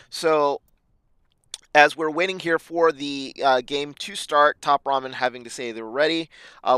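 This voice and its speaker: Hoarse male esports caster. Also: on the high side, a bit bright, dry recording. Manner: halting, many fillers. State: slightly raspy voice. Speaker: esports commentator. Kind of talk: esports match preview commentary.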